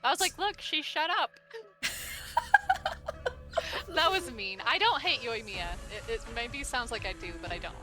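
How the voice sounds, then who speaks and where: High, lively, blunt woman. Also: a little slow, long pauses. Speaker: guest co-commentator. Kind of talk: casual banter about a video game. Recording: clear music bed behind the voice. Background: background music.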